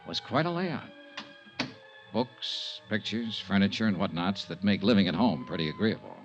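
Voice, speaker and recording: An actor playing a tough, wry insurance investigator in a 1950s radio drama, clipped and sardonic. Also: male voice, low-pitched, lively intonation, normal speed. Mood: matter-of-fact narrating. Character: tough, wry male insurance investigator, cool under threat. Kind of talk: 1950s radio detective drama acting with first-person narration. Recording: muffled 1950s radio recording, music.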